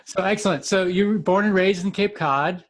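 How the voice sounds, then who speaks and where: A man's voice: high-pitched, moderate pace. A man hosting a podcast, asking questions in a friendly, rambling way with many restarts. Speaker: podcast host. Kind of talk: casual interview hosting. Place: Florida.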